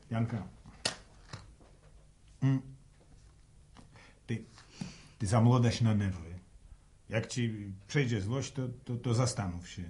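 A mid-pitched country man in a radio drama, the practical grown son trying to keep order. He speaks in a homely, halting way, half scolding and half placating, over clear background noise. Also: mellow. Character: exasperated, practical grown son of village family. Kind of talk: Polish radio serial acting. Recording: noisy old radio recording.

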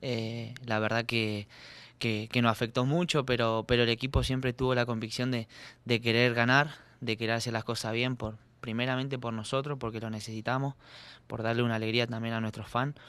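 A young man, a soccer player answering at a postgame press conference, earnest and halting with repeated words, speaking into a desk mic. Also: medium pitch, flat delivery, fairly bright tone, clean tone, no echo. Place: press room. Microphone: desk mic on stand.

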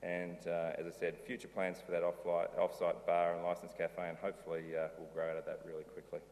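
Deep-voiced man in a hall. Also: monotone, a little fast, creaky, darkish tone. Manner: loose, conversational, many ums and restarts. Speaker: conference speaker, brewery owner. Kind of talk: conference talk with slides. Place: large dark auditorium stage. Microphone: lectern mic, filmed from far in the hall. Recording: reverberant hall, distant camera.

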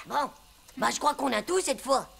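A woman's voice: high voice, lively intonation, normal speed, creaky, fairly bright tone, exaggerated voice. A woman voicing a cheeky, whiny little schoolboy in a French cartoon, full of slangy exclamations. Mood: disgusted, grumbling. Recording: music behind the voice.

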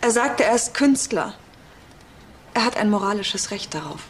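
A woman's voice: medium pitch, expressive, normal speed, lightly acted. Woman acting naive defensive young pharmacy girl, crime audio drama, bit of noise. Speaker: actress in a crime radio play.

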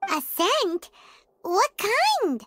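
A woman voicing a tiny, excitable fairy girl companion in a video game, chirpy and childlike. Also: very slow, noisy background, heavily acted. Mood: curious. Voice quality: squeaky, childlike. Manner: chirpy, exclamatory, refers to self in third person. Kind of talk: video game character acting.

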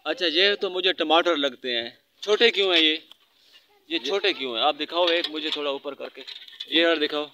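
A man's voice: on the high side, moderate pace, background noise. A man hosting a casual vlog tour of a village garden, speaking to his followers and asking questions, recorded outdoors on a phone. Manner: chatty, addresses followers, asks many questions. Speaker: vlogger giving a garden tour.

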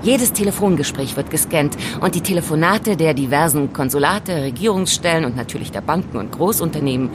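A woman's voice: deep voice, animated, brisk, few pauses, noisy background. A woman playing an elegant, composed young informant in an audio drama, explaining things in a teasing, didactic way. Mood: knowing, pointed. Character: elegant, self-assured young woman, calm knowing informant. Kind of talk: German audio drama acting.

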